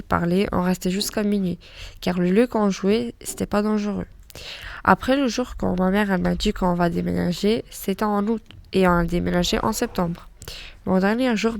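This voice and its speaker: A teenage girl from North Macedonia reading her own account aloud in a plain, steady way. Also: mid-range voice, gravelly. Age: teens. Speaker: student reading her text. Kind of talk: read-aloud personal account.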